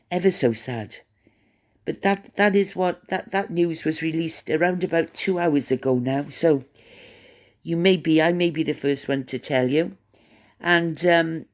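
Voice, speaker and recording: A Welsh-accented vlogger talks through the day's gossip in a loose, rambling way. The voice sits at an ordinary middle pitch, chatty and stop-start, often doubling back and repeating words while thinking out loud. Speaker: channel host. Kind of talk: rambling gossip commentary to camera.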